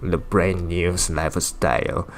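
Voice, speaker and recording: A man whose first language is Chinese, giving a casual language-learning talk in English, halting with frequent fillers and restarts. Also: low voice, steady tone. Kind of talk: casual talking-head explainer.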